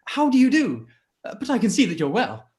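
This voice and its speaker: A man playing an amiable, smitten young gentleman in a period radio play, effusive and a little hesitant. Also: very high voice, wildly swooping pitch, fairly quick, full character voice. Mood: eager, flustered. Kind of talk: live-read period radio play acting.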